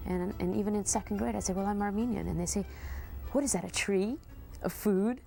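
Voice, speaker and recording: A woman's voice: medium pitch, brisk, vocal fry, warm tone, faint music behind. A woman telling a personal anecdote in a documentary interview, acting out remembered dialogue.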